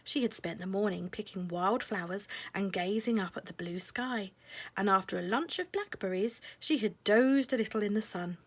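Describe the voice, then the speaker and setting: A woman narrating a children's storybook in a measured, expressive way, letting phrases end softly. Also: mid-range voice.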